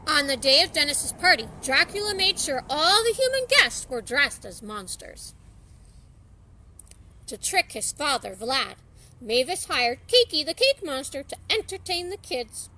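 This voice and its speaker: Animated high-pitched woman reading a story. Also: unhurried, poor audio. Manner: expressive, theatrical, acts out dialogue. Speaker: storyteller reading a children's book aloud.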